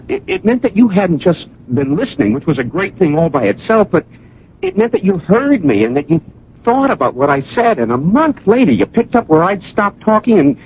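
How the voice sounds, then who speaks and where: An earnest, lovesick male suitor in an old radio play. His husky, highish voice swoops widely as he pleads and questions haltingly, on a dull broadcast recording. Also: full character voice. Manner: halting, emotional, insistent questioning. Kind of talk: old radio drama acting.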